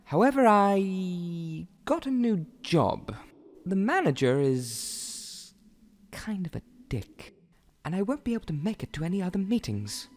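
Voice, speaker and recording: A man voicing a polite, conflicted young man in a cartoon parody, speaking formally and haltingly. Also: high voice, very animated. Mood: apologetic.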